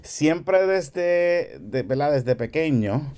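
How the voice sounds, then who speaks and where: A Puerto Rican man with a mellow, relaxed voice talks as a podcast guest. He explains in a casual, conversational way, peppering his speech with colloquial asides and English slang. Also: fairly high pitch, extremely slow, slight background noise. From Carolina, Puerto Rico.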